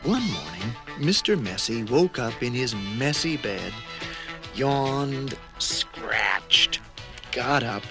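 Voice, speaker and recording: A man narrates a children's story with lively, swinging intonation and a gravelly edge. He is measured, pausing for effect, over music on a read-along record. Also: medium pitch.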